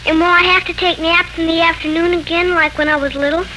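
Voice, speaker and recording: Seven-year-old girl, high and bright, inquisitive chatterbox for an old radio serial, noisy vintage recording. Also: steady tone, fast talker. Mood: curious. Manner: inquisitive, childlike, eager to show off. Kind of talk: 1940s radio serial acting.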